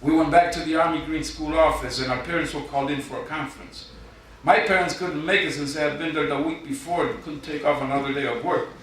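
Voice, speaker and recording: A middle-aged man reading his poetry live, with informal asides and a wry, deadpan storytelling manner. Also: a little high, quick pace. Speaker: poet. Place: live event in a reverberant room, audience present. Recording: very echoey hall, distant, noisy.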